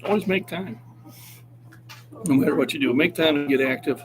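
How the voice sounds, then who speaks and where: A man's voice: on the high side, moderate pace, slight background noise. A man in his sixties, a fitness coach talking casually on a livestream and urging viewers to keep active. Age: 60s. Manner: encouraging, motivational.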